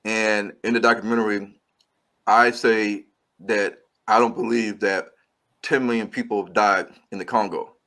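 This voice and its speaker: A man's voice: lowish voice, fairly even intonation, measured pace, a bit bright. A man, a filmmaker guest, giving long earnest explanations in an interview and restarting his sentences often. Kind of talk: interview answers.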